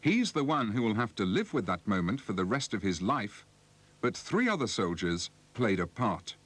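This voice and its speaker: A British man delivering measured, explanatory scripted narration for an instructional film. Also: lowish voice, sing-song, a bit bright. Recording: slightly noisy 1980s film soundtrack.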